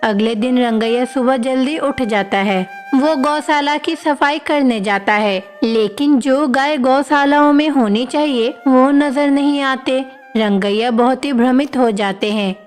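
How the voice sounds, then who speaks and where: A woman's voice: high voice, a little slow, hardly any pauses, long reverb tail, faint music behind. A woman reading scripted narration for a moral bedtime story.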